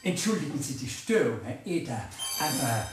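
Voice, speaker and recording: A man's voice: mid-range voice, sing-song, normal speed, reverberant, music in the background, close to an everyday voice. Haughty, stilted manservant, played by a man. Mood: embarrassed, formal. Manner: stilted, over-formal, pompous, hesitant when flustered. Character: haughty, stiff, flustered manservant of a countess. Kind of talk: radio play acting.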